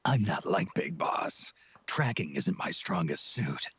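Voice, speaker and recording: An actor playing a gruff, weary aging soldier in a video game, terse and wry with a gravelly, husky voice. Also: male voice, deep voice, big pitch swings, slow, fairly bright tone, no room sound, exaggerated voice. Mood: wry skepticism. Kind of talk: dramatic video game acting.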